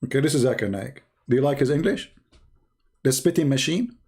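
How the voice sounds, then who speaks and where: A man at an ordinary mid pitch hosts a call-in debate stream. He talks in a sarcastic, teasing way, full of hesitations and fillers. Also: darkish tone, breathy edge. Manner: halting speech with frequent fillers. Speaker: host of a call-in debate show.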